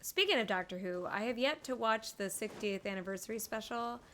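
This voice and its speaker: A woman's voice: on the high side, fairly quick, a bit bright. A woman giving casual, reactive live commentary as she streams a game. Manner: chatty, reactive.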